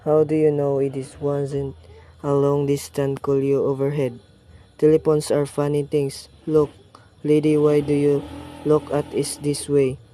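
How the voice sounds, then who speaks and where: A man playing a weary, dismissive police desk sergeant in a radio play, talking down to the caller in a flat, patronizing way. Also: a little high, measured pace, a little hiss or hum, close to an everyday voice.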